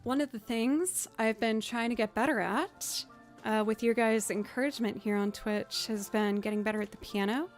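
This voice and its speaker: A young woman with a low voice, chatting casually and rambling to her live stream audience. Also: fairly even intonation, moderate pace, soft background music. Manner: chatty, rambling, self-deprecating. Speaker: streamer and musician.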